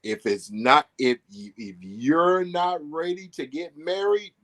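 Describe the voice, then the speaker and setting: A man in his thirties hosting a casual podcast chat, jumping in and teasing his guests. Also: a little high. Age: 30s. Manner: lively, teasing, quick to jump in. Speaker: podcast host.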